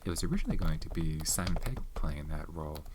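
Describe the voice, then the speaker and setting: A soft-spoken man chatting unhurriedly about films, often trailing off to search for names and now and then dropping into a whisper. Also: extremely low pitch, expressive, quick pace. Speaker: ASMR channel host. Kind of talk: soft-spoken ASMR movie chat. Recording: audible background noise with keyboard and tapping clicks. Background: tapping and typing clicks.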